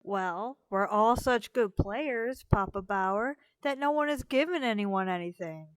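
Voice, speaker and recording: A woman's voice: mid-range voice, measured pace, smooth, dry recording, close to an everyday voice, low-quality recording. A woman playing a cheerful, fussy young housewife and family peacemaker in a radio soap drama. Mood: playfully hesitant.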